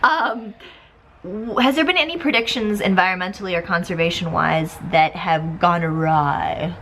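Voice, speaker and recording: A woman's voice: lowish voice, moderate pace, a bit bright, slight background noise. A young woman vlog host, upbeat and giggly, interviewing a guest outdoors at a festival with a handheld mic. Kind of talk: bubbly casual interview.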